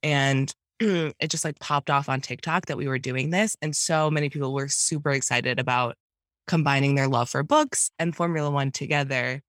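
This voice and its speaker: A young woman co-hosting a casual chat podcast, playful and quick to laugh, sounding sick with a cold. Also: extremely low pitch, fairly bright tone, no echo, studio quality. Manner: playful, laughing often, announcer-like. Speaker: podcast co-host.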